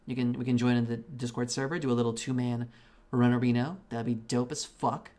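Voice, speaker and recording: A man's voice: mid-range voice, level tone, a little fast, a little mellow. Rambling, profane male game streamer. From Belarus. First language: Russian. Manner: rambling and profane, with many restarts. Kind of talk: casual live-stream chat while gaming.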